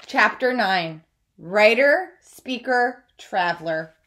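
A woman in her thirties reads a book aloud as a teacher would. Her voice sits at an average pitch for a woman. She phrases each sentence deliberately, in a measured storytelling delivery. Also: lively intonation, a bit bright. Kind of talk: read-aloud of a children's biography. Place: small room at home, indoors.

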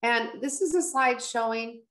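A woman's voice: on the high side, measured pace, fairly bright tone. A middle-aged woman physician giving an informative slide presentation over a video call, explaining things in a conversational way. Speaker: pediatric infectious disease physician, panelist. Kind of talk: informative slide presentation at an online town hall.

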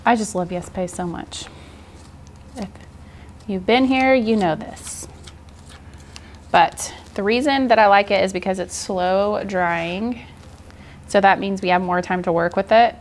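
A woman's voice: mid-range voice, a little slow, pauses often, a little hiss or hum. A woman in her forties giving an informal, encouraging art journaling tutorial in a casual style. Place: indoor art studio, seated at work table.

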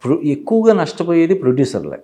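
A man giving explanatory commentary as an interview guest, halting and repeating phrases as he goes. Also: on the high side, wildly swooping pitch, mellow. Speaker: film industry analyst, studio guest. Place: TV studio.

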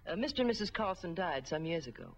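An actress playing a businesslike middle-aged records official, formal and precise, in a 1940s film. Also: female voice, on the low side, big pitch swings, fast, creaky, full character voice. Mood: businesslike, regretful. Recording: muffled 1940s film soundtrack.

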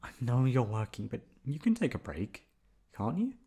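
A man with a mid-pitched voice performs an ASMR boyfriend roleplay. He sounds playful and coaxing, with lively swoops in pitch as he pleads and teases affectionately. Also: moderate pace, breathy edge. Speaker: voice actor performing an audio roleplay.

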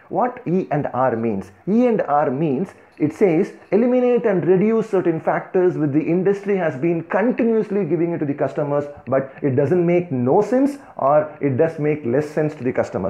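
Tamil-accented indian guy rambling thru a slide talk, lots of uh and you know, kinda high voice, sounds like its over a phone. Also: dark tone. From Tamil Nadu, India. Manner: thinking aloud with frequent "uh" and "you know", restarts and emphatic lists. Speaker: organization founder giving a talk. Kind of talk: free-flowing lecture over slides. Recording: tinny phone-like audio.

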